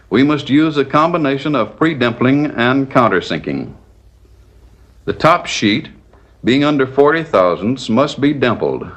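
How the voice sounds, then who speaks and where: Measured 1940s training film narrator, male. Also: medium pitch, fairly bright tone. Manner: measured, didactic, step-by-step.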